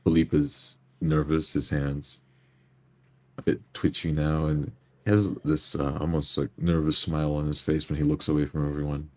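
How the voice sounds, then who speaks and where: A man's voice: rumbling low, little pitch movement, moderate pace, darkish tone. A man playing a tabletop roleplaying game, describing his character's actions and speaking in character.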